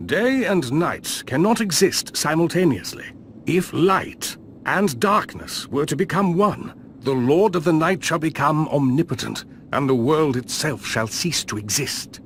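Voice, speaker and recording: A man playing a composed, learned sage who speaks formally and deliberately in a video game cutscene. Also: fairly high pitch, animated, a little hiss or hum. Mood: grave.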